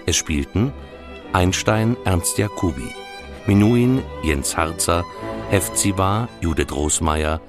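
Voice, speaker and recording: A man's voice: low-pitched, takes it slowly. A man reading scripted closing credits as a radio announcer. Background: violin music.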